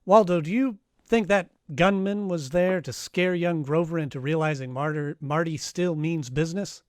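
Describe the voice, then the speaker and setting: A man's voice: fairly high pitch, moderate pace, no echo, natural voice. A man playing a wry, hard-boiled private detective in 1940s radio style, dry and wisecracking. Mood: wry, reflective. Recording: live-streamed radio play with music underneath.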